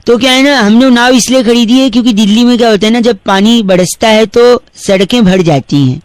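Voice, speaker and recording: A man's voice: very high voice, fairly quick, dry recording. A man hosting a comic radio prank call, talking with mock politeness and insistent, rambling explanations.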